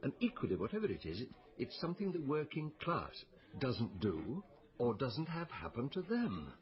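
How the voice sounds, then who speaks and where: An actor playing a sardonic older doctor in a radio drama, musing and theatrical in manner. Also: male voice, mid-range voice, huge pitch swings, normal speed, lo-fi sound. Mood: musing, intent. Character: wry, sardonic old male doctor detective. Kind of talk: naturalistic radio drama acting.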